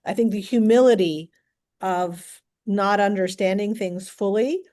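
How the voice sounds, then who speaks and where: A woman's voice: mid-range voice, a little slow, dark tone. A woman who is a doctor, speaking as a guest in a casual podcast conversation, thoughtful with a few restarts and light laughs.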